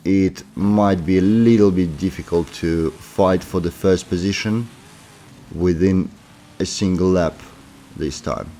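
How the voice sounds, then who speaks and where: A man's voice: deep voice, fairly even intonation, unhurried, dark tone, slight background noise. A man with a sore throat from a cold, giving casual commentary on his racing game as he plays, thinking aloud with many fillers. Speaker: gaming commentator.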